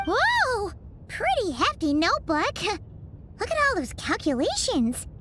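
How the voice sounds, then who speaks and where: A woman voicing a tiny, chirpy, curious fairy companion in an anime-style video game, with a squeaky, childlike voice and chatty, wide-eyed manner. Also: huge pitch swings, slow pace, a bit bright, a little hiss or hum, full character voice. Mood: amazed, curious.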